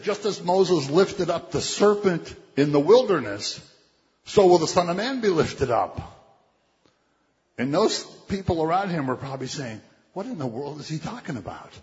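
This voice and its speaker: Male preacher, slightly high voice, conversational delivery; long hall reverb. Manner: conversational preaching, quotes scripture, acts out voices. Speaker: pastor. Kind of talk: sermon. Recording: dull, muffled sound with long hall reverb.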